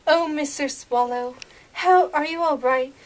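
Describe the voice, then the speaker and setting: A woman voicing a sweet, kindly tiny young girl in a storybook puppet show, gentle and earnest. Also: sits high, a little slow. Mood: concerned, kind.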